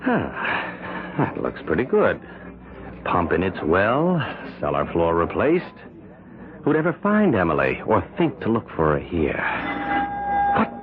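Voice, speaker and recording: Edgy defensive husband, clipped and irritable, pitch swinging widely, tinny radio sound. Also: male voice, huge pitch swings, slow, long pauses, crowd noise, exaggerated voice. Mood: muttering. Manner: clipped and irritable, voice leaping when rattled. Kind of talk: 1970s radio drama acting. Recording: tinny old radio broadcast.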